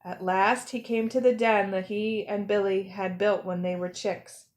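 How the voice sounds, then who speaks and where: A woman storyteller reading a picture book aloud for children, with careful, expressive phrasing. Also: medium pitch, measured pace. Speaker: storyteller, zoo educator.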